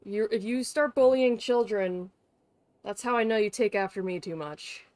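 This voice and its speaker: A woman's voice: medium pitch, moderate pace, darkish tone, no echo. A woman livestreaming a game, chatting in a casual, rambling way with playful teasing and some swearing. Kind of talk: casual gaming stream chat. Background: music in the background.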